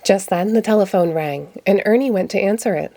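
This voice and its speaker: A woman reading a children's storybook aloud in an expressive storytelling manner. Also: medium pitch, fairly quick, slight background noise. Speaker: storybook reader.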